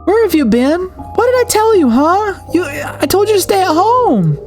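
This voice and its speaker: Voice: very high voice, sing-song, normal speed, soft background music, voice pushed up, exaggerated voice. A streamer voicing a cold, stern mother in a horror game, frigid and accusing. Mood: hurt, angry.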